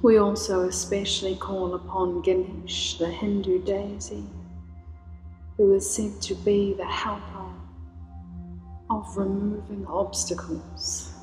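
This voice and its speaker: A woman leading a guided meditation in a slow, soothing, invocational way. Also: mid-range voice, level tone. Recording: roomy reverb with soft music.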